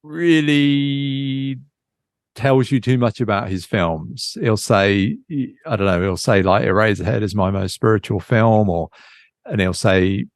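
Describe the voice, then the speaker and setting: A man's voice: fairly low pitch, unhurried, dry recording, clean studio sound. A man chatting casually about films as a podcast co-host.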